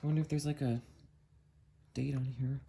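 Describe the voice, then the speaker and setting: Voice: mid-range voice, flat delivery, brisk, gravelly, mellow. Musing hobbyist with noise behind the voice. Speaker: amateur video maker, radio hobbyist. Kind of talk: casual hands-on product demo, thinking aloud.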